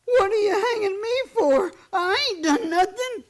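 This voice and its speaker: A woman acting a simple, gentle drifter man and former soldier in a Western radio play, with naive, folksy American speech. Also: extremely high pitch, animated, measured pace. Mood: frightened, pleading. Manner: naive, plain-spoken, folksy rural speech.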